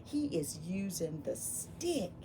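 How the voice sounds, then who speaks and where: Animated, unhurried woman reading to children. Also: fairly low pitch. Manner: animated, exclaiming, talks directly to young listeners. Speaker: volunteer children's book reader. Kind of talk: expressive picture-book read-aloud with questions and asides to children. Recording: steady background noise.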